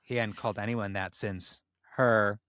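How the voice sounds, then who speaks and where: Low-pitched man hosting a comic story reading. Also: steady tone, a little slow, fairly bright tone, no room sound, studio quality. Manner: playful, dramatic reading broken by asides and laughter. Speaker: podcast host reading fan fiction aloud.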